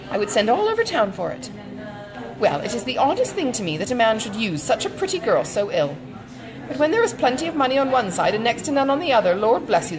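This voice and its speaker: A woman acting a gossipy older gentlewoman, kindly but indignant, high swooping pitch, exclamatory chatter, classic novel audiobook. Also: low-quality recording. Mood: pitying, exasperated. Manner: exclamatory, chattering, dramatically emphatic.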